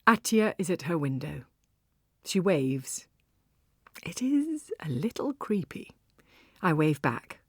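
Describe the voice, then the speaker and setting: Wry, husky, highly expressive storytelling woman. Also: slow pace, long pauses, no echo, clean studio sound, full character voice. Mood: wry, reflective. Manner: expressive, conversational, storytelling with hushed asides. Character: weary, wry Icelandic woman cafe owner, former police officer. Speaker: audiobook narrator. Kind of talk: first-person dramatic audiobook narration.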